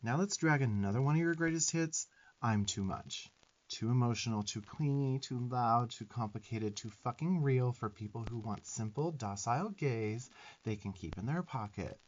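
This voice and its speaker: A sarcastic little demon twink, played by a man, bosses the listener around with affection in an ASMR roleplay. His mid-pitched young voice swings up and down with sassy emphasis and blunt swearing. The sound is a little dull. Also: expressive, moderate pace, close to an everyday voice. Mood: sassy, affectionate. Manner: sassy, emphatic, profane tough-love delivery.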